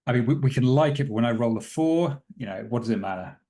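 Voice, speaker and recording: A man's voice: mid-range voice, expressive, quick pace, warm tone. A man improvising story narration as a player in a tabletop roleplaying game, wordy and thoughtful with a dryly theatrical turn.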